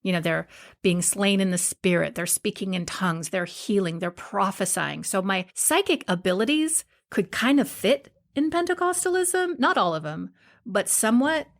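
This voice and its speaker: A woman's voice: medium pitch, animated, normal speed, creaky. A middle-aged woman from Hawaii, a guest on a podcast, telling candid stories about her life and often laughing as she talks. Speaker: podcast guest, spiritual teacher. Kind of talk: casual interview conversation.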